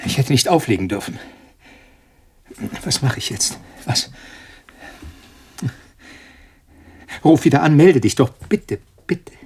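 An actor in a radio drama playing a cornered male scientist, agitated and halting, repeating himself as he panics and pleads. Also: mid-range voice, lively intonation, a little mellow, slightly rough. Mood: desperate. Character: cornered, panicking male molecular biologist pleading with his tormentor.

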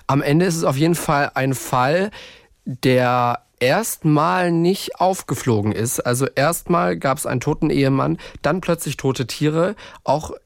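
A man co-hosting a true-crime podcast, talking casually in a halting, reflective way and often repeating phrases. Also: fairly high pitch, gravelly, no room sound, clean studio sound. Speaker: podcast co-host.

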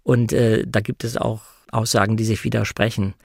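A man talking as a guest in a personal, conversational discussion, in a reflective, measured manner. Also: on the low side, flat delivery, creaky, dry recording, studio quality. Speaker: guest, audiobook narrator.

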